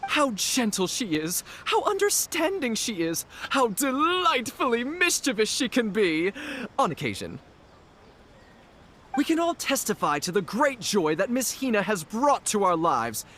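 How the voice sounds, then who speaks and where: A woman's voice: on the high side, big pitch swings, unhurried, a bit bright, a little hiss or hum. A woman voicing a fervent, gushing fan club leader in an anime video game, preaching theatrically. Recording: faint game music behind.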